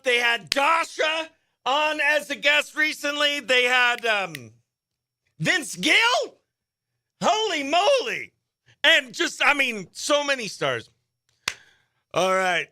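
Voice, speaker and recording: A man hosting a radio show, chatting in an informal, excitable, slangy way. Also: very high-pitched, takes it slowly, long pauses. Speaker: radio host. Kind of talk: casual behind-the-scenes chat and upbeat radio interview.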